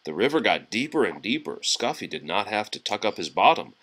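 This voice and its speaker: A man reading a children's storybook aloud expressively, pausing to chat and answer questions from young listeners. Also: fairly low pitch, big pitch swings, pushed and bright.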